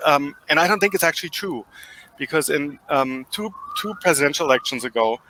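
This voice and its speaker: A man's voice: on the high side, animated, a little fast, sharp tone, a little hiss or hum, poor audio. A man with a German accent, giving thoughtful interview answers full of fillers and restarts. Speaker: guest expert.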